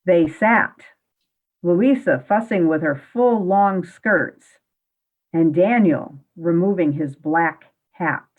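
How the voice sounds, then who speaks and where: A woman's voice: fairly low pitch, slow. A woman reading scripted story narration for a radio-style play, on a dull, lo-fi recording.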